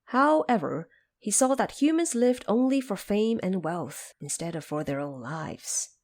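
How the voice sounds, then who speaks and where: A woman narrating a scripted audio drama as an expressive, dramatic storyteller. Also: medium pitch, unhurried.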